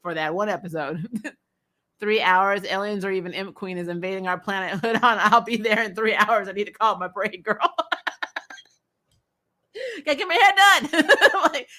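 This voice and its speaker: An American woman hosting a casual recap show, chatting in a blunt, animated, opinionated way with informal language. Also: on the high side, moderate pace. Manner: animated, frequently laughing, blunt and informal with mild swearing. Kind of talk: casual opinionated fan chat, reading and answering comments.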